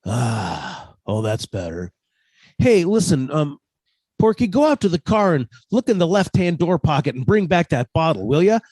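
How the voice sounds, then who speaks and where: A man's voice: on the high side, sing-song, normal speed, a little mellow, breathy edge, no room sound, clean studio sound. An actor playing a timid, easily spooked young oil-rig man in radio horror drama style, hesitant and folksy. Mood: shaky. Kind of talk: old-time radio horror drama acting.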